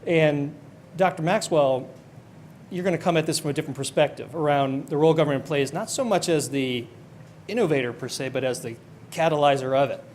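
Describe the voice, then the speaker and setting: A man's voice: on the high side, brisk, darkish tone, slight background noise. A man moderating a conference panel, casual and affirming, linking loosely strung phrases into a question for the next speaker.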